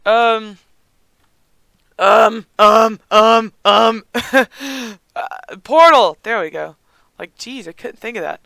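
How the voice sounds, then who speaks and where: A gaming host with a hoarse, raspy, squeaky voice, chatting casually over gameplay with a lot of giggling and rambling ums. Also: slow, long pauses, sharp tone, no room sound. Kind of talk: casual let's-play commentary.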